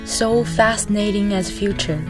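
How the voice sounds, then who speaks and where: A woman giving slow, measured, poetic narration for a promotional film. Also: mid-range voice, fairly even intonation. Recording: voice-over with loud music behind.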